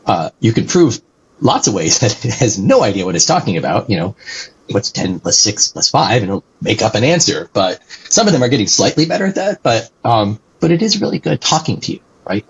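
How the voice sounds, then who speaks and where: A man's voice: on the low side, big pitch swings, fairly quick, a little hiss or hum. A man being interviewed as a guest, explaining things animatedly and tagging sentences with "right?", on a dull lo-fi recording. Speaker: guest, company executive. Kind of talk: conversational interview answers.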